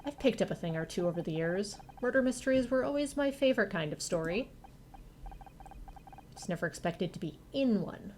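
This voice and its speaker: A woman's voice: mid-range voice, expressive, a little fast, a little mellow, a little hiss or hum, close to an everyday voice. A woman voicing a determined, dryly spoken young female murder suspect in a video game. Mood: calm.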